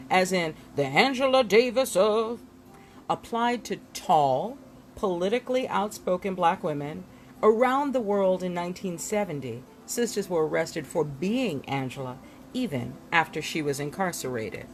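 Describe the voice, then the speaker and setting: Woman reader, low register, very lively intonation, expressive book narration with dramatic emphasis, some background noise. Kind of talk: expressive read-aloud of a glossary.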